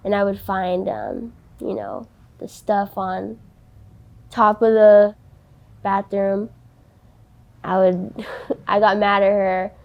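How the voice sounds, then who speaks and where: A woman of nineteen in a candid interview, speaking softly and haltingly with many ums and likes. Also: medium pitch, little pitch movement, vocal fry, lo-fi sound. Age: young adult. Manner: hesitant, many ums and likes, soft-spoken. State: tearful, voice breaking.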